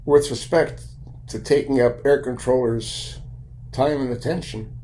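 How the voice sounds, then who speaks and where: An older man with an American accent giving a blunt, opinionated monologue in deliberate, broken-up phrases, with a gravelly voice. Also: mid-range voice, fairly even intonation, mellow, rough recording. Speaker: video commentator and private pilot. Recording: light background noise.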